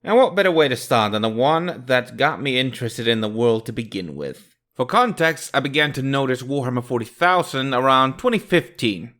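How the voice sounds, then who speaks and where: A man's voice: medium pitch, lively intonation, normal speed, a bit bright. A man hosting a video review, giving casual scripted commentary in a jokey, emphatic way.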